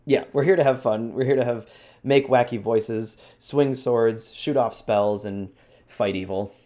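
A man's voice: medium pitch, a little slow, mellow. A man hosting a show, explaining techniques in a casual, encouraging and instructional way. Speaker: show host giving role-playing tips.

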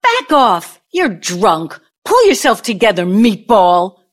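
A woman's voice: medium pitch, huge pitch swings, slow pace, full character voice. A woman playing a wounded, vengeful older ex-girlfriend in an audio play, speaking in a dramatic, pointed way. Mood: defiant. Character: bitter, wounded, vengeful ex-girlfriend from mogul's college days.

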